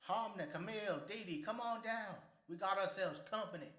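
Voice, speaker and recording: A man's voice: sits high, a little fast, background noise, natural voice. An actor playing a friendly, folksy middle-aged family man with an American Southern accent in an audio drama. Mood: calling out. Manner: effusive, folksy, hospitable.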